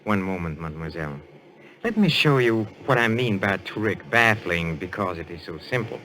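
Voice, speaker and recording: An actor playing a suave, assured detective in a radio mystery, speaking in a courtly, deliberate way. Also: male voice, deep voice, expressive, pushed and bright, a little hiss or hum. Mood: patient, explaining. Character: suave, assured French detective, male, posing as a medium. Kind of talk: old-time radio mystery acting.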